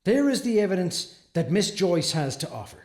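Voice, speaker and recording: Guy acting as earnest defense lawyer full of doubt, brooding audio drama monologue, slow pauses, echoey room. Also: high-pitched, big pitch swings, a little mellow. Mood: earnest, troubled. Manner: deliberate, pausing, brooding. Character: earnest, troubled male defense attorney wrestling with doubt. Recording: echoey, roomy sound.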